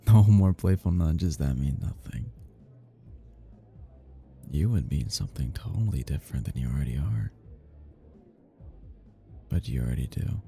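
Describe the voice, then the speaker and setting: A man's voice: deep voice, gravelly, mellow, full character voice. A man playing a cocky, flirty best friend in an intimate romantic ASMR roleplay, murmuring teasingly and slipping into whispers.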